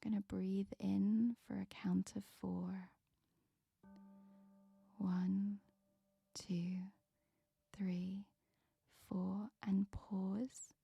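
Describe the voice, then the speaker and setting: Meditation guide: woman, breathy whisper, slow and soothing, dry and close. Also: medium pitch, little pitch movement, dark tone, no room sound.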